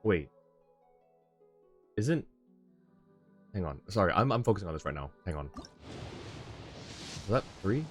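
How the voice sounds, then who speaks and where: Male game streamer, low register, off-the-cuff chat-facing commentary, mutters his thinking aloud, music underneath. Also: very animated, measured pace, long pauses, breathy edge. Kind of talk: casual livestream gameplay commentary, reacting and talking to chat. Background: video game music.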